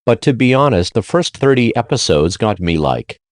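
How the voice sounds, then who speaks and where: A man's voice: on the low side, big pitch swings, moderate pace, background noise. A man hosting and narrating a scripted countdown review in an informal, slangy way. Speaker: video host and reviewer.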